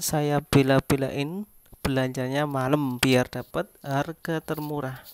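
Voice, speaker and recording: Slow, even-toned vlogger, halting delivery. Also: medium pitch, slight background noise. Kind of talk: casual vlog narration over close-up shots.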